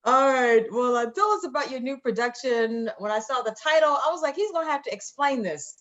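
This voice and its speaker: A woman's voice: sits high, lively intonation, fairly quick, fairly bright tone, lo-fi sound. A woman hosting a casual, chatty live interview, playful and generous with praise. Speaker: radio interview host.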